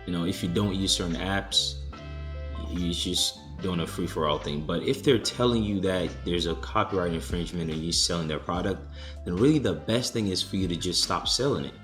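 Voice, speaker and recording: A man hosting a conference call, answering a caller's questions in a measured, explanatory way with some restarts. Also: sits low, echoey room, music in the background.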